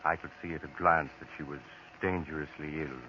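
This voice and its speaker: Grave horror storyteller, deep voice, deliberate, dramatic, 1940s radio, muffled noisy recording. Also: male voice, steady tone, bright, forceful tone.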